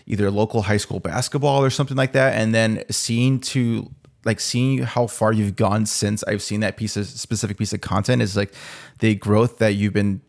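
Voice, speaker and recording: A man's voice: on the low side, steady tone, moderate pace, creaky. A man hosting a casual podcast interview, talking in a laid-back, slangy way. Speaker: podcast host.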